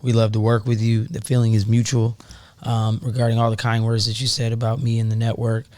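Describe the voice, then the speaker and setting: Guy guesting on a podcast, friendly and thankful, average pitch. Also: completely flat delivery, brisk, darkish tone. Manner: upbeat, gracious, polite thanks. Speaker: guest, network founder. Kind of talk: casual podcast interview.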